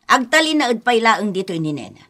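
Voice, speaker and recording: A woman playing a stern, protective grandmother in a radio drama, forceful and scolding. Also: a little high, sing-song, normal speed. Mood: indignant. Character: stern, protective grandmother confronting her daughter-in-law. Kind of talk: Ilocano radio drama acting.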